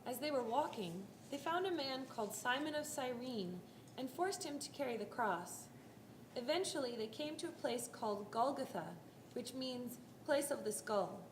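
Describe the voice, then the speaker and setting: A woman narrating a scripted dramatic Bible reading, telling the story in a deliberate, expressive way. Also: sits high, background noise. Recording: roomy live hall, faint music.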